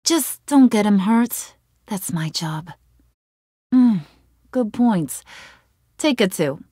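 A woman's voice: medium pitch, animated, slow, mellow, breathy edge, dry recording, studio quality. An actress playing a cunning, menacing young female gang boss in a video game, taunting and theatrical, swinging from sweet to threatening. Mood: bossy, sly.

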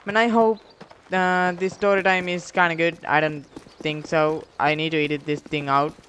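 A young man giving casual gameplay commentary in an excitable, slangy, profane way, speaking into a headset. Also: a little high, moderate pace. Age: young adult. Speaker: gamer, YouTube host. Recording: clear game gunfire noise behind voice. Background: shooter game gunfire and clicks.

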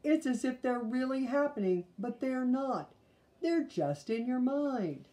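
A calm storybook papa bear, voiced by a woman reading aloud, mellow in tone. He reassures and explains in a measured, tuneful way. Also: sits high, pitched above the performer's own voice.

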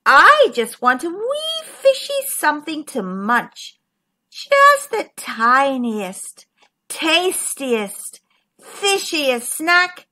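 A woman voicing a greedy, sneering male shark from a children's storybook, in a slow, drawn-out, wheedling way. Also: high-pitched, sing-song, pushed and bright, exaggerated voice. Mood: sly, wheedling.

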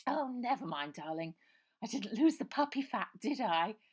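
A woman reading aloud and voicing a posh, plump, theatrical young girl in a high, gushing manner. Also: big pitch swings, normal speed, darkish tone, husky. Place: small room indoors.

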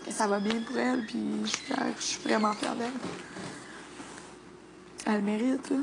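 A young woman with a Quebec French accent, tearful, softly confiding in a house living room, recorded with a lapel mic. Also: fairly high pitch, steady tone, normal speed, gravelly. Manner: soft, emotional confiding. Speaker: reality show contestant. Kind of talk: casual chat. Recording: noisy hidden-camera room audio.

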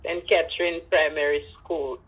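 An elderly woman with a Jamaican accent and husky voice, telling personal stories with emphatic repetition and Creole phrasing. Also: low-pitched, steady tone, normal speed, narrow, tinny audio. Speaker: interviewee, grandmother. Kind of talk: personal storytelling in an interview.

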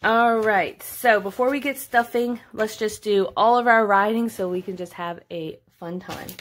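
A woman's voice: mid-range voice, moderate pace. Female vlogger, late 20s, mid-range voice, casual and chatty, thinks aloud while walking viewers through a task. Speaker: budgeting vlogger. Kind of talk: casual narrated budget walkthrough.

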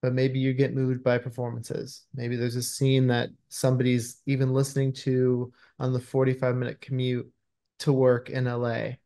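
A man in his thirties speaks on a video call in a conversational interview style. He is effusive and thoughtful, often searching for the right word. His pitch sits in the middle of the male range. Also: monotone, normal speed, warm tone. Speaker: screenwriter being interviewed. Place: indoors in a room at home, on a video call. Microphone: computer video-call microphone.

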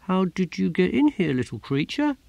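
A man's voice: high voice, very animated, moderate pace, creaky, darkish tone, no echo, voice pushed up, heavily acted. A man voicing a simple, timid young novice gardener who asks hesitant, bewildered questions. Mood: curious.

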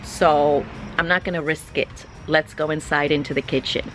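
A woman in her forties hosts a casual home cooking video and chats cheerfully to the camera as she works. Her voice sits a bit low and carries the echo of a kitchen, picked up by a camera a few feet away. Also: steady tone, moderate pace, slight background noise. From Nigerian, Jamaican and American. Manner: chatty and upbeat, laughing often. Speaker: cooking vlogger. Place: home kitchen indoors, garden outdoors at first.

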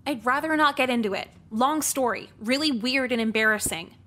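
An actress playing a cynical, sarcastic teenage high school girl in a visual novel, speaking in a dry, blunt deadpan. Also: a little fast. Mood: dry, exasperated. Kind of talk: visual novel game voice acting.

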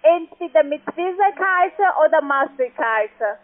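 Woman, high voice, foreign accent, non-native speaker, tinny phone line, sales call. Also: animated, a little slow. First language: not German. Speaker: call-centre account manager.

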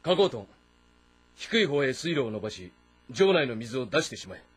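A man playing a proud, brooding middle-aged warlord in a historical game drama, speaking with deliberate authority. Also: medium pitch, sing-song, breathy edge. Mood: commanding. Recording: voice over background music.

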